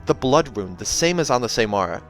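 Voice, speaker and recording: A man hosting a read-aloud of a novel, narrating in an expressive, dramatic style. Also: mid-range voice, a little fast. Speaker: host reading a novel aloud. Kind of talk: dramatic audiobook narration with casual asides. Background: soundtrack music.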